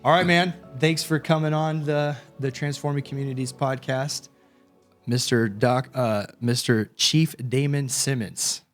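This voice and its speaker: A man's voice: medium pitch, steady tone, a little slow, faint music behind. An American man speaking as a podcast host and interviewer. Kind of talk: conversational interviewing with scripted introduction.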